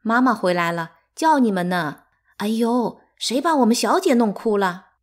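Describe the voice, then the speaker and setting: A kindly, aging maidservant from a storybook, voiced by a woman reader. She sounds fussy and deferential, quick to fluster and apologise. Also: a little high, expressive, voice pushed up. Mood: concerned.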